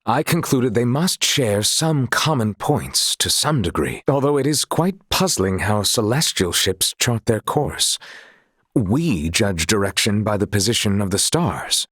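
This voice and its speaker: An actor playing an earnest, courtly young sailor and swordsman of noble family in a video game, speaking in formal, old-fashioned phrasing with a husky voice. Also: lowish voice, big pitch swings, unhurried, fairly bright tone, no echo, clean studio sound, exaggerated voice. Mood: pensive, curious.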